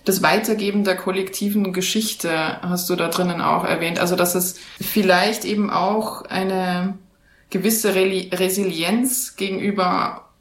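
A woman's voice: fairly low pitch, steady tone, a little slow, roomy sound. A woman interviewing a guest, thinking aloud with frequent fillers as she shapes her questions. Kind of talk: conversational interview.

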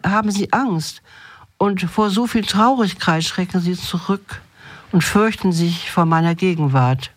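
A woman's voice: mid-range voice, no echo, natural voice. Storybook spirit, read by a woman: grey, dejected, hesitant, sorrowful, in a close dry sound. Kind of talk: fairy tale read aloud.

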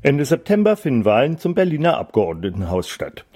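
A man presenting a radio magazine and putting interview questions in a measured, articulate, scripted style. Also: medium pitch, big pitch swings, over music.

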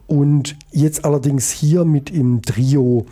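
A man's voice: on the high side, fairly even intonation, slow, dark tone. A man hosting a radio jazz show, chatting casually between tracks, rambling with frequent hesitations and fillers.

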